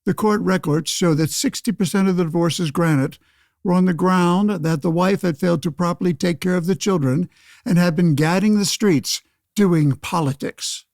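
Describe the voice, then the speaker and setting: An actor playing a pompous, old-fashioned senator of 1911, speechifying against suffrage in a radio play. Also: male voice, high-pitched, normal speed, darkish tone, no room sound, studio quality. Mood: alarmed, preachy. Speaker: debate guest. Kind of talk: pseudo-radio play, oratorical debate.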